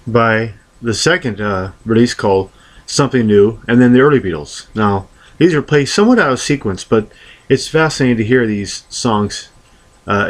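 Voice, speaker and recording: A man presenting a record collection in an unscripted show-and-tell, speaking deliberately and haltingly, often repeating words. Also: on the low side. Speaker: music collector presenting albums.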